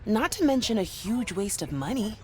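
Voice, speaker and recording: An actress playing a sardonic, tech-savvy young programmer in a video game, blunt and teasing with a dry manner. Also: female voice, on the high side, big pitch swings, normal speed, darkish tone. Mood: exasperated. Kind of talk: video game character dialogue.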